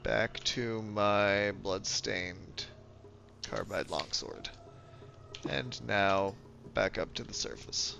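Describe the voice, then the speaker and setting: A congested man with a low, gravelly voice drawls through his game stream at an unhurried pace, rambling and poking fun at himself. He is losing his voice. The sound is dull and lo-fi, with music playing clearly behind him. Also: sharp tone. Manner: low-key, rambling, self-mocking. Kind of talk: casual game commentary.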